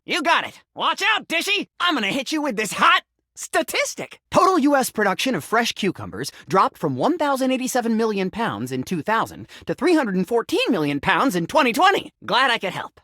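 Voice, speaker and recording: A man's voice: extremely high pitch, sing-song, a little fast, sharp tone, no echo, clean studio sound, heavily acted. A male actor voicing an eccentric, frantic talking sink, secretly a cursed man, in a theatrical video game style with melodramatic, pompous declaiming. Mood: eager, bossy. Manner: melodramatic, absurdist, swinging between pompous declaiming and shrieks.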